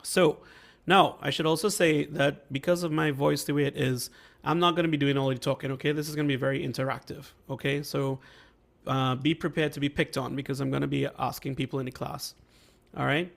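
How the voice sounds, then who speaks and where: A man with a voice worn from a flu, hosting an online workshop and teaching mixing in a casual, halting way that keeps checking in with listeners over a video call. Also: medium pitch, steady tone, gravelly. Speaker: workshop host and mixing instructor. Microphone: video call microphone.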